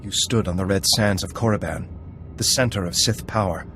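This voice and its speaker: A man's voice: low voice, a little fast, a bit bright, faint music behind. An actor playing an earnest, agitated Jedi in a video game, accusing and dramatic in his delivery. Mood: grave, ominous. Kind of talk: cinematic video game acting.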